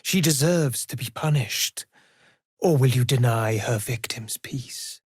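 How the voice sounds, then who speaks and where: An actor playing a mysterious, brooding male avenger in a video game, speaking in grave, measured, formal phrases with a gravelly, hushed edge. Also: mid-range voice, dry recording, studio quality. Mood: cold, accusing. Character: mysterious, brooding male avenger who hunts and torments murderers. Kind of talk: dramatic video game voice acting.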